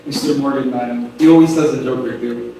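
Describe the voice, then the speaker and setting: A man playing a formal, deferential butler with a measured, dryly solemn manner in an amateur play reading. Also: mid-range voice, steady tone, warm tone, lightly acted. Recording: distant, very echoey room with hiss.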